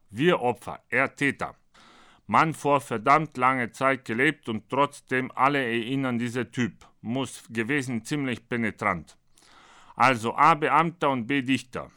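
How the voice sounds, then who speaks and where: Man putting on a blunt pidgin-German speaker, bright and forceful. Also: medium pitch. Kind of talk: comic monologue read from his own book.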